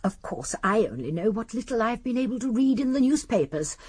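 An actress playing a very old, genteel English lady detective in a radio drama, politely deferential yet gently persistent with pointed questions. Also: a little high, sing-song, a little fast, slightly rough, dry recording. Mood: politely probing. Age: older.

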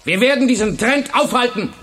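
A high-pitched male voice plays a driven schoolteacher in a German radio play. He is intense and commanding, with the bearing of a charismatic dictator. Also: expressive, fairly quick, a bit bright, slightly rough, full character voice. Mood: fervent, commanding. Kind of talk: German radio drama acting.

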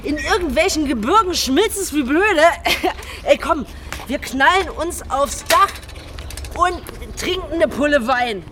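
A woman's voice: high-pitched, expressive, unhurried, pushed and bright, slight background noise, exaggerated voice. An actress playing a blunt, slangy young woman who is hurt and cutting toward her boyfriend in a naturalistic radio drama. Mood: excited. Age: young adult.

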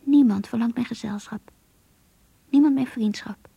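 Casting: lonely, wistful young woman for radio drama monologues; very husky, hushed, mellow. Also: a little high, unhurried, dry recording, full character voice. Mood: dejected, yearning. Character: lonely, melancholy young working woman confiding to her diary. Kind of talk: Dutch radio play monologue.

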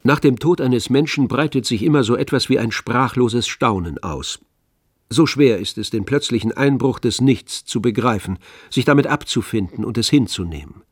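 A man's voice: mid-range voice, moderate pace, no room sound, clean studio sound. A man narrating a literary radio drama as scripted storyteller.

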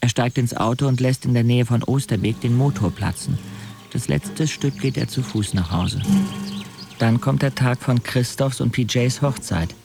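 An actor narrating a radio drama in the first person as a wry young man, measured, detached and literary. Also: medium pitch, close to an everyday voice. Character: wry young male narrator recounting his friends' lives. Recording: clear music under the voice. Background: music.

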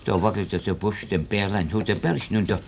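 A man's voice: on the low side, fairly even intonation, moderate pace, creaky, a little mellow, slight background noise. A man playing a humble, eager shoemaker in a radio play, deferential and folksy as he insists on his opinion. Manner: deferential, hesitant, folksy, repeats himself.

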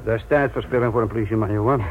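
An actor playing a gruff, sardonic senior police detective lecturing trainees in a radio play. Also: male voice, on the low side, fairly even intonation, racing, natural voice. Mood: sarcastic, stern. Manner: sarcastic, authoritative, lecturing. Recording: muffled, noisy old radio play recording.

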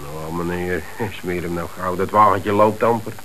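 Deep voiced dutch crook, wary n grudging, slangy, old radio play with some hiss. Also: male voice, normal speed, bright, forceful tone. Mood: sullen, defensive. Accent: Dutch from the Netherlands. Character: wary small-time crook, the quieter brother. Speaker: actor. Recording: hissy old radio recording.

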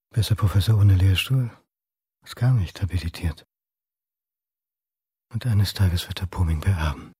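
An actor playing a self-doubting, middle-aged lecturer in a radio drama, muttering with dry, self-deprecating wit. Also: male voice, low voice, darkish tone, slightly rough, no echo, full character voice. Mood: brooding, bitter. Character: self-doubting, brooding, dry-witted male history lecturer. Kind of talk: naturalistic radio drama acting.

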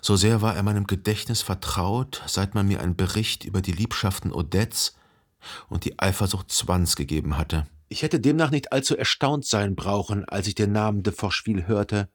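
An actor playing a reflective, observant gentleman who narrates his memories in a literary radio drama, measured and articulate, with a husky voice. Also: fairly low pitch, natural voice.

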